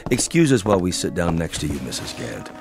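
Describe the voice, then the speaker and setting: A man's voice: deep voice, big pitch swings, fast, music in the background. An actor playing a smug, genteel alien escort of a king, dry and mannered in a radio drama.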